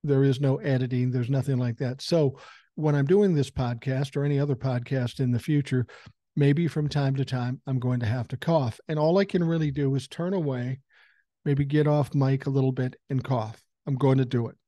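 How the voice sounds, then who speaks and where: Sixties male podcast host with a cold, blunt and profane. Mellow voice, dry close-mic sound. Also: mid-range voice, steady tone, normal speed, no echo. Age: 60s. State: has a common cold. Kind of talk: casual political commentary monologue. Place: home room with a couch behind him. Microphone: large foam-covered dynamic mic close to the mouth.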